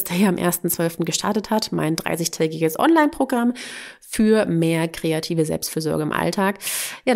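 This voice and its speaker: A woman's voice: on the low side, darkish tone. A woman in her thirties hosting a solo podcast, talking candidly and casually with a slightly congested voice from a cold. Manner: chatty, candid, with fillers and laughs. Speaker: podcast host.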